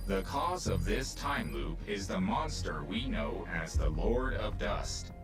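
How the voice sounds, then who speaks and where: An actor voicing a calm male artificial intelligence personality that explains things in a measured, precise, formal way. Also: fairly low pitch. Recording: distant voice under loud orchestral score.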